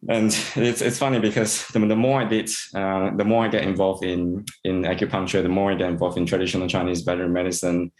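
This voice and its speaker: A man from Singapore, an integrative veterinarian, answering interview questions in a halting way with many repeated words and fillers. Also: deep voice, steady tone, darkish tone, reverberant, rough recording.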